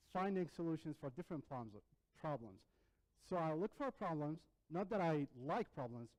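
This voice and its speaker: A man, presenting his software projects earnestly and restarting words as he goes. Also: a little high, low-quality recording. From Iraq. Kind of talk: capstone demo presentation.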